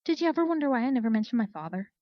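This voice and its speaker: A woman voicing a kind, steady young female earth pony in a storybook reading, gentle and earnest. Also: on the high side, very fast, no echo, highs cut off, pitched above the performer's own voice. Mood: earnest, bitter. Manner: soft, earnest.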